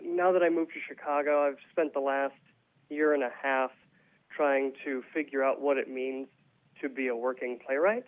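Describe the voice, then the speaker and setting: A man's voice: mid-range voice, fairly even intonation, moderate pace. A man being interviewed as a playwright, explaining his thoughts reflectively in a conversational way. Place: phone call to a radio show. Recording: tinny narrow phone line.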